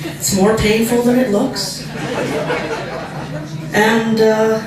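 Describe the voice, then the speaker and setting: A woman giving a roast speech with a loud voice and a dry, sarcastic delivery. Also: fairly high pitch, steady tone, extremely slow. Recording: echoey hall from far off with crowd. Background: laughing audience.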